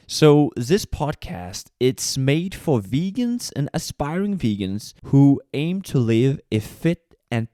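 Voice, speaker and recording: A man's voice: medium pitch, warm tone, dry recording, studio quality. A young man from Mauritius hosting a solo podcast, talking earnestly and conversationally with frequent fillers and restarts. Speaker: podcast host.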